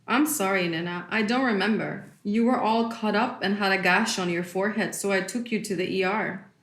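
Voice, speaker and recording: A woman's voice: medium pitch, normal speed, fairly bright tone, natural voice. A woman playing a protective, worried middle-aged mother of a grown daughter in a naturalistic audio drama, with a concerned manner. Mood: puzzled, apologetic. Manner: concerned, slips into Spanish endearments and phrases. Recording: roomy indoor echo.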